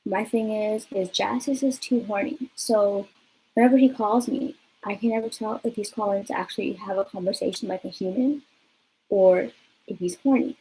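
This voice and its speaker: A woman's voice: mid-range voice, fairly even intonation, normal speed, warm tone, slight background noise, poor audio. A young woman from California telling a rambling personal story in a casual vlog, full of fillers and swearing. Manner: chatty, lots of fillers and swearing. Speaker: vlogger.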